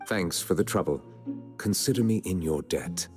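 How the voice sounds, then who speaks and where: A man voicing a dignified, composed chief judge in a video game, formal, measured and courteous. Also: sits low, lively intonation, over music. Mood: courteous, solemn. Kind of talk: video game character voice acting.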